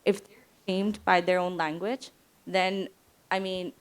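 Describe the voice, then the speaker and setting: A woman's voice: lowish voice, little pitch movement, a little slow, clean tone. A woman, a teacher speaking earnestly and off the cuff in a panel discussion. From Pakistan.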